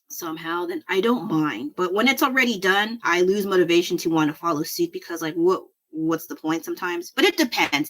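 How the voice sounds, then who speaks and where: Low-voiced woman chatting while she draws. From United States. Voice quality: low voice for her size. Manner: rambling, self-deprecating, laughs often. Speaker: livestreaming artist. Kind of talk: casual chat while drawing. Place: home desk, indoors.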